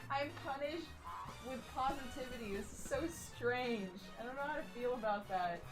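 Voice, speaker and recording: A woman's voice: sits high, moderate pace, poor audio. A woman hosting a stream, chatting casually with a giggly, rambling manner. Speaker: streamer host. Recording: music playing behind.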